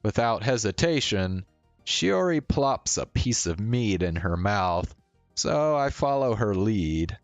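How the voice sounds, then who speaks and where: Slow, low-voiced man narrating a story. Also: expressive, dry recording, highs cut off, soft background music. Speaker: streamer reading a visual novel aloud. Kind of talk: first-person story narration with acted dialogue.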